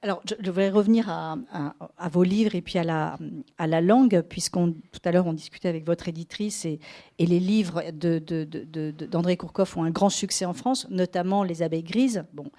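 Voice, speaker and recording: A woman's voice: fairly low pitch, normal speed, warm tone. A woman interviewing an author in a bookshop, agreeing often and rephrasing her questions as she goes.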